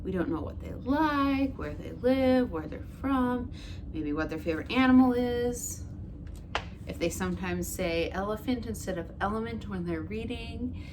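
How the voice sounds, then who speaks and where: A woman teacher reads a picture book aloud to children in a gentle, expressive storytelling way, asking questions of her listeners, then talks it over with them. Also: fairly high pitch. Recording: noticeable background noise.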